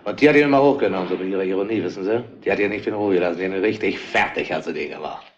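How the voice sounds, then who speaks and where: An actor playing a blunt, crude-humoured tavern regular in a retro radio crime play, rambling and insinuating in colloquial speech. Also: male voice, low voice, rapid-fire. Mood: gossipy. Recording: dull, band-limited old radio recording.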